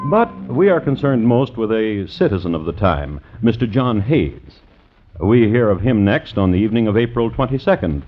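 A man narrating as a wry crime storyteller, dry and deliberate, in 1950s radio style. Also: lowish voice, very animated. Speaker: host and narrator. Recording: muffled vintage radio recording. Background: dramatic music.

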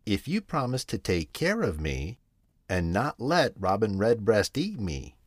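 A man's voice: on the low side, wildly swooping pitch, measured pace, no echo, studio quality, heavily acted. A man voicing a small, frightened male caterpillar who speaks timidly.

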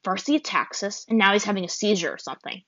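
A woman's voice: medium pitch, animated, quick pace, fairly bright tone, no echo, dull audio. A woman voicing a sassy, teasing young firebender girl who talks down to others. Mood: scornful.

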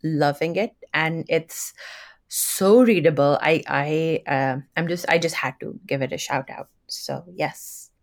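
A woman's voice: deep voice, a little slow. A woman whose first language is Urdu, co-hosting a podcast and chatting enthusiastically about books, often restarting her sentences. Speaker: podcast co-host. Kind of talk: casual book chat.

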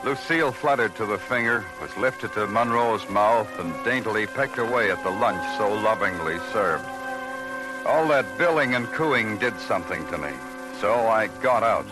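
An actor playing a deadpan, terse police detective who narrates his case and questions people in an old noir radio drama. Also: fairly low pitch, normal speed, over music, natural voice, poor audio. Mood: wry, brooding.

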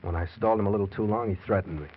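A man's voice: on the low side, very fast, close to an everyday voice. A man playing a desperate, scheming husband who tells of his crime in slangy, hard-boiled 1940s radio drama style. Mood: brooding. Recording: muffled, noisy 1940s radio recording.

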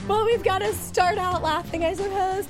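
A woman's voice: very high voice, steady tone, normal speed, music in the background. A woman who has lost her voice, hosting a casual TV after-show chat, chatty and self-mocking, leaning into a studio mic. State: hoarse, lost voice. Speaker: host.

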